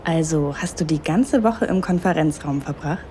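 Brief: female actor as a confident, flirtatious vacationer, mellow low-ish voice, faint noise under the audio drama. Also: normal speed, close to an everyday voice. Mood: flirty. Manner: teasing, playful, confident. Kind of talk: erotic audio drama acting.

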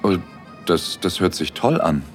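A young romantic man in an intimate audio drama, dreamy and a little shy. His voice is very low, telling the story in the first person over music. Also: lively intonation, measured pace. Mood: shy, flustered.

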